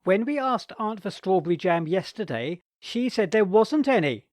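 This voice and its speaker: A man's voice: high-pitched, sing-song, moderate pace, no echo, voice pushed up. A man voicing a clever, cheeky young boy who speaks with gleeful innocence.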